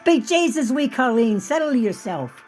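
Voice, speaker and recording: A woman putting on a brash young Irish soldier for a read-aloud story, sing-song and high. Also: sing-song, moderate pace, darkish tone, soft background music. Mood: blustering. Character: strapping young Irish immigrant soldier, blustering.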